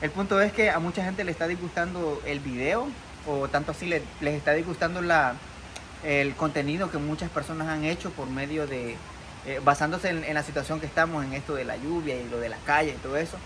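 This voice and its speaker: A man's voice: a little high, a little fast. A young man, a content creator, talking to the camera outdoors beside a muddy rural road, earnest and defensive, with many fillers and restarts. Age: young adult. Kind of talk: vlog to camera. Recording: outdoor noise behind. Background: outdoor ambience.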